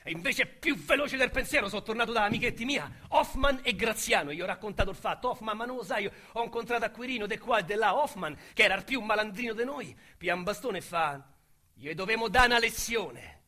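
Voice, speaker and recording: A rough young Roman street criminal making a confession in a radio play. His voice is high and bright, with a sharp edge. He tells it vividly in thick slang, halting and agitated. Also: lively intonation, exaggerated voice. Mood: agitated storytelling. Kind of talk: dramatic radio monologue, colloquial storytelling.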